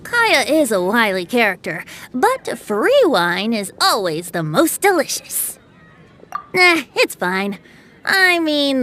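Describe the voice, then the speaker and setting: A woman's voice: high voice, pushed and bright, heavily acted. An actress playing a playful, carefree young male bard in a video game, with a theatrical, lilting, breezy delivery. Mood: carefree, pleased.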